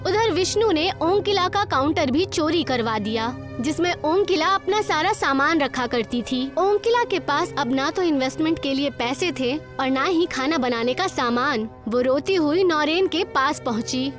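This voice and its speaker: A woman with a high voice narrates a children's moral tale. It is a scripted storytelling read, with soft music in the background. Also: animated, normal speed, few pauses.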